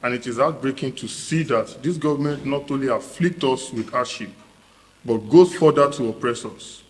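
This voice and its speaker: A man's voice: medium pitch, normal speed, rough recording. A man reading a formal statement in a grave manner. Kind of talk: public statement.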